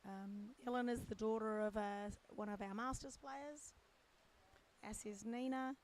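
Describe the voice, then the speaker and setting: A middle-aged woman with a croaky, strained voice gives casual live sports commentary from poolside. She talks in a chatty, unhurried way, with fillers as she thinks aloud. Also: a little high, fairly even intonation, darkish tone, rough recording. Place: poolside at an indoor or covered swimming pool.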